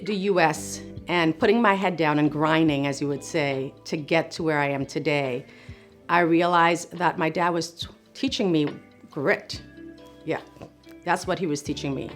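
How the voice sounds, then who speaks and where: Middle-aged physician assistant from Trinidad and Tobago, low-pitched, reflective and encouraging, over faint music. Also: female voice. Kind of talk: speaking to camera about her work.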